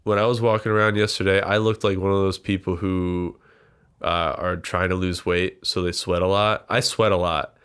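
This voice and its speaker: A man's voice: low voice, little pitch movement, normal speed, a bit bright, clean tone. A young man hosting a comedy podcast, rambling casually and self-deprecatingly, his voice congested and nasal. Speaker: podcast host. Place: small warm room with a computer.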